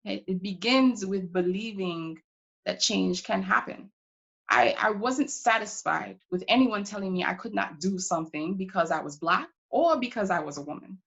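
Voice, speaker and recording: A woman playing a proud, determined pioneering congresswoman from Brooklyn, answering questions in character with deliberate, reflective phrasing broken by pauses. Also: medium pitch, creaky, muffled recording, natural voice. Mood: resolute, earnest. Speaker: historical reenactor taking audience questions.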